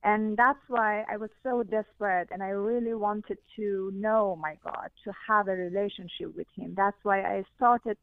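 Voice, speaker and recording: A woman's voice: mid-range voice, steady tone, moderate pace, few pauses, dry recording. A woman from Iran with a Persian accent, a guest who earnestly recounts her questions and experiences, using many fillers. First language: Farsi. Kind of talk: interview, personal testimony. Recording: tinny phone-like audio.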